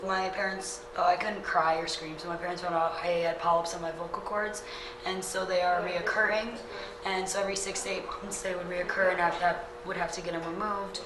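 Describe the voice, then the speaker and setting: A raspy eighteen-year-old woman, a Reiki student, earnestly and enthusiastically answering interview questions. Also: lowish voice. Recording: loud noisy echoey room.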